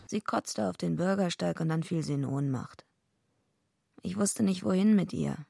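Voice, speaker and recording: Radio drama lead: tough female loner, blunt and deadpan, heavily creaky voice, dry close sound. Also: fairly low pitch, moderate pace, no echo, full character voice. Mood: dry narration. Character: tough, streetwise, defiant female loner narrating her story. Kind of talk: radio drama acting with first-person narration.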